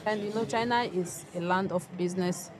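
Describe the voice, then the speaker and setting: A woman speaks frankly in a plain way. Her voice sits at an ordinary pitch. Clear music runs underneath. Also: fairly quick. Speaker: mother and trader. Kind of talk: personal interview. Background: background music.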